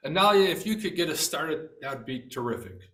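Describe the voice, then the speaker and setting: Man moderator, bright and somewhat high voice, formal intros, roomy echo. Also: big pitch swings, fairly quick. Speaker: panel moderator. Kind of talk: reading introductions.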